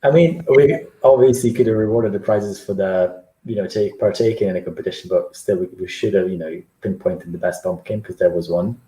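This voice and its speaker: Man from Ukraine, low warm voice, dry wit, echoey room. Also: brisk, few pauses. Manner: dry, self-deprecating humor. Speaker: HR team member. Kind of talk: casual chat.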